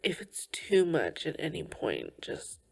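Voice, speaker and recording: A tender girlfriend voiced by a woman soothes her partner in an ASMR roleplay. Her voice is slightly low and husky-soft, often near a whisper. She takes her time, speaking very slowly with gentle, reassuring care. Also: wildly swooping pitch, exaggerated voice, poor audio. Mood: soft, affectionate. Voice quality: breathy, often slipping into whispers. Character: tender, caring girlfriend comforting a tired partner.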